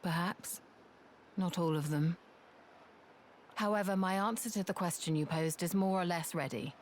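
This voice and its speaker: An actress playing a proud, guilt-burdened former assassin in a video game, with a curt, formal way of talking. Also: female voice, lowish voice, moderate pace, a little hiss or hum, lightly acted. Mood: firm, guarded. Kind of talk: video game cutscene acting.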